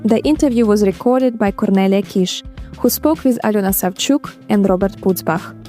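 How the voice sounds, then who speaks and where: A woman presenting a podcast, reading a clear, even scripted outro. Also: mid-range voice, moderate pace, soft background music.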